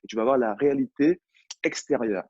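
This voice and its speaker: A man's voice: mid-range voice, fairly quick, darkish tone, dry recording, dull audio. A French man, a personal development coach, talking in a live online chat, hesitant and often repeating words. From France.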